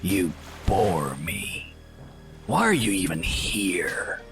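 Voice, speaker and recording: An actor playing a haughty, menacing ancient sea-raider captain in an audio drama, speaking with slow, deliberate contempt. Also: male voice, low voice, very animated, a bit bright, soft background music, heavily acted. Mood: cold disdain.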